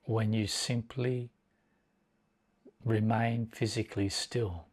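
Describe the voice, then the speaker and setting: Very slow, low-voiced man guiding meditation. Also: steady tone, darkish tone. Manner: measured, deliberate, with long pauses between short phrases. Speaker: meditation teacher. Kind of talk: guided meditation instruction.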